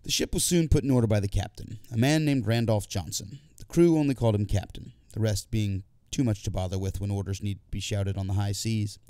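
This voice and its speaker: A man's voice: on the low side, brisk, hardly any pauses, dark tone, no echo, clean studio sound. A man reading a scripted first-person literary story as an audiobook narrator.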